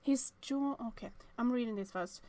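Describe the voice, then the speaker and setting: A woman game streamer reading a visual novel aloud with casual commentary, often hesitant and thinking out loud in fragments. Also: fairly high pitch, moderate pace.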